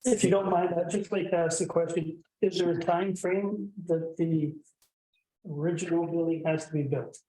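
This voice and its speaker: A man councillor asks a question in a plain, casual way. His voice is mellow and dark, and it sits away from the microphone in an echoey chamber. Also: a little high, steady tone, normal speed, recorded from a distance, slight background noise. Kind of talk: asking questions in a council meeting.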